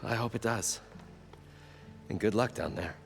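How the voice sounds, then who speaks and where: An actor playing an eager, chatty salvager in a video game cutscene. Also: male voice, on the low side, a little slow, creaky, soft background music. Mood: recounting. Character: eager older male salvager, folksy and chatty.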